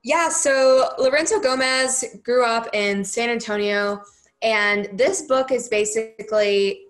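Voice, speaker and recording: A woman's voice: medium pitch, a bit bright. Young woman, casual co-host, easygoing, fillers, video call audio. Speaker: book review co-host. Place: room at home. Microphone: video call laptop.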